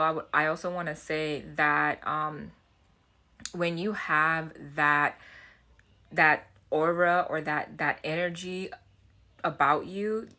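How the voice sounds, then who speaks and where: Low, bright-voiced woman with Haitian accent. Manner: chatty and earnest, restarts and repeats words. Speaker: self-development vlogger. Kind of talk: casual talk to camera.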